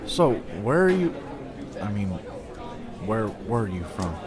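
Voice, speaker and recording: A man's voice: fairly low pitch, big pitch swings, slow, music in the background, a crowd in the background. A man playing an anxious, protective young older brother in a sci-fi audio drama, fretful and a little awkward. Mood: awkward, polite.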